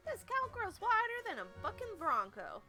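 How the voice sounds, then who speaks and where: A woman's voice: extremely high pitch, huge pitch swings, normal speed, over music, pitched above the performer's own voice, full character voice. A woman voicing a brash young Southern cowgirl actress with a put-on folksy drawl. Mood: flustered.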